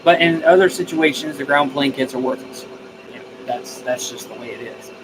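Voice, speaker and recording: A man's voice: medium pitch, normal speed, background noise. A man chatting casually on a live stream, answering viewer comments and repeating phrases as he thinks aloud. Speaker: live streamer, radio hobbyist.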